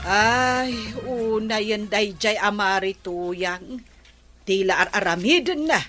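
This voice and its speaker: A woman's voice: mid-range voice, slow pace, over music, lightly acted. A woman playing an indignant village woman in an Ilocano radio comedy drama, scolding and exclaiming in an Ilocano accent. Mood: exasperated.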